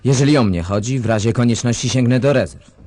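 A man's voice: medium pitch, fast, darkish tone, no echo, lightly acted. A man acting an officious, assertive military official in a satirical radio drama. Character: officious male military official demanding weapons and rewards.

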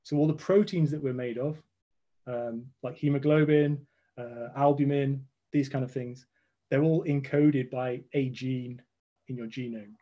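A man's voice: mid-range voice, fairly even intonation, measured pace, lo-fi sound. A man in his forties, a guest scientist on a video call from his home, explaining step by step with frequent restarts. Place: home room with red walls.

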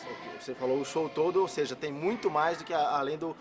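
Male reporter, 30s, quick and slightly high, live TV segment with soft music behind. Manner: brisk, lively, gestures a lot. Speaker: field reporter. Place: dark studio set.

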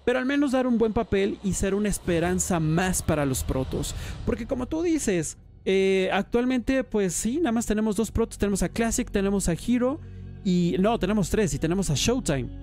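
A man hosting a casual podcast, talking in an animated, enthusiastic way with lots of slang. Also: high voice, normal speed, soft background music. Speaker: podcast host and StarCraft caster.

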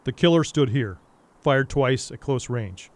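An actor playing a grim, stern vigilante detective in a noir radio drama, terse and brooding. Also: male voice, medium pitch, animated, a little slow.

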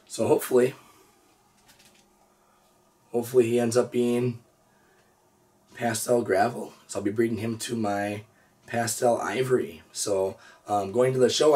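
A hoarse man in his thirties, a reptile breeder hosting his own channel, chatting easygoingly with lots of "uh" and "so". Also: mid-range voice, a little mellow, distant mic. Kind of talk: casual show-and-tell vlog. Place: indoor reptile room with storage drawers.

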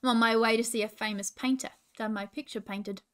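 A woman's voice: fairly high pitch, fairly even intonation, fast talker, fairly bright tone, clean tone, close to an everyday voice. A woman voicing a self-important little male wombat for a children's story, given to boasting and easily deflated. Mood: boastful, cross. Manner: boastful, grand, sighing when thwarted.

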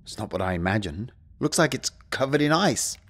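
A man playing an excitable, wisecracking spaceship hero in a sci-fi audio drama. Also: medium pitch, fairly quick. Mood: tense wonder. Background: music.

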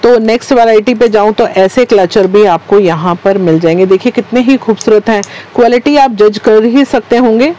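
A woman's voice: fairly high pitch, normal speed, hardly any pauses, mellow, poor audio. A woman hosting a shopping vlog, presenting wholesale goods in a repetitive patter of stock phrases. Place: small wholesale market shop, indoors.

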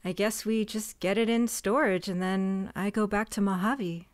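An actress voicing a quiet, stubborn young woman who dabbles in magic, in an audio drama, speaking plainly and earnestly. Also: mid-range voice, steady tone, normal speed, no echo, lightly acted. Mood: wistful. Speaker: shop heir and cousin.

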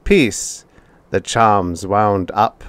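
An emotionless machine teacher, given voice by a male narrator, speaks in a flat metallic tone. The delivery is slow, low and carefully measured, without feeling. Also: smooth, dry recording. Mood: instructive. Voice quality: metallic, even, unfeeling.

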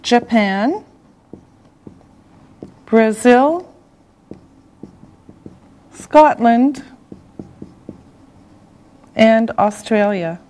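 A middle-aged woman from Canada teaching an English lesson, enunciating deliberately word by word and repeating herself, in a studio. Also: on the high side, warm tone, poor audio. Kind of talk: slow classroom language lesson. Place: television studio classroom set.

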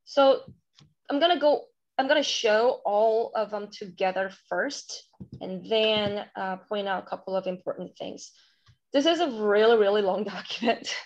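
A woman from South Korea with a Korean accent, presenting a curriculum to teachers on a webinar, halting with many fillers and restarts. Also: medium pitch. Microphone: video call. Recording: dull lo-fi webinar audio.

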